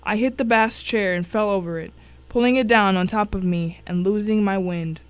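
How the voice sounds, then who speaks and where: A woman's voice: mid-range voice, moderate pace, smooth, no echo. A woman reading a scripted first-person thriller as narrator, telling it with drama and suspense. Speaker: story narrator.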